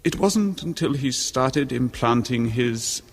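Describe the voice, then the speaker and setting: Pompous, bitter ageing husband, cavernous echo. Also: male voice, medium pitch, lively intonation, slow, gravelly, full character voice. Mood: bitter indignation. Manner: florid, scornful, theatrically precise. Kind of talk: 1970s radio horror drama acting. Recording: long reverberant echo on 1970s radio recording.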